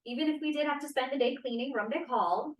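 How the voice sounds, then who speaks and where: A woman's voice: high-pitched, quick pace, close to an everyday voice. A woman playing an eager, chatty young farm-country college student in a 1940s play at a table read. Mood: proud, awed. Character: eager, chatty young cowgirl student from rural Wisconsin, 1945. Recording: roomy, echoey, distant mic.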